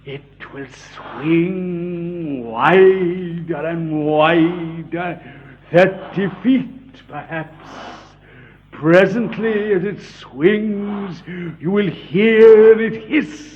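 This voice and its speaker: An actor playing a cruel inquisitor who torments prisoners with formal, measured menace, in the style of old radio horror. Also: male voice, high-pitched. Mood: gloating menace. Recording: muffled, noisy old radio recording.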